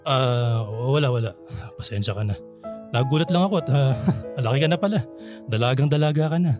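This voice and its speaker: A man's voice: mid-range voice, brisk, over music, close to an everyday voice. A Filipino man playing a nostalgic, bashful middle-aged bachelor back home from abroad in a radio drama. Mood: flustered. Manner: easygoing, chuckling, sheepish.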